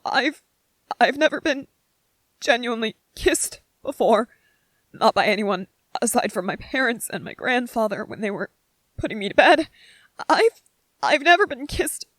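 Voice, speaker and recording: A woman's voice: high-pitched, no echo. A woman playing a tender, anxious young man in an ASMR roleplay drama, stammering and repeating phrases as they speak to the listener. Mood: overwhelmed, breathless wonder.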